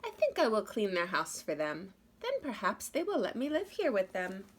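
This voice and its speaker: A woman voicing a sweet, innocent young princess in a children's storybook, polite and gently wondering. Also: mid-range voice, wildly swooping pitch, moderate pace. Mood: helpful. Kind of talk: children's storybook read-aloud.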